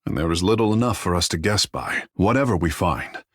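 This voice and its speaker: Game role: young, self-regarding demigod artist; low husky voice, grand formal phrasing, close dry sound. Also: male voice, expressive, brisk, dry recording. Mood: lofty, solemn. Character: vain, theatrical young male demigod water shaper and artist. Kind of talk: video game character dialogue.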